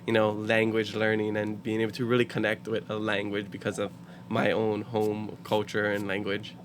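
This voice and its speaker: A man's voice: fairly low pitch, moderate pace, background noise. Laughing young man from Hawaii. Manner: lively storyteller who laughs often. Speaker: podcast guest. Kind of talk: casual podcast chat.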